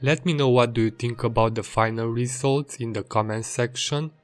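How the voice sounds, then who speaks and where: A man, an illustrator reading scripted voice-over narration in a plain, measured way. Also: mid-range voice, fairly even intonation, faint music behind. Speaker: illustrator and video creator.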